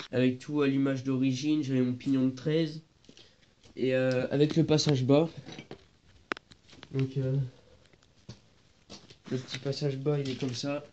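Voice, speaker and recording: A man's voice: medium pitch, warm tone. A teenage boy with a stuffy cold, talking hesitantly and searching for words while filming his moped work on a phone. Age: teens. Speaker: vlogger. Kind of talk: casual moped workshop vlog. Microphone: phone camera, handheld then propped. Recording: dull lo-fi phone audio with noise.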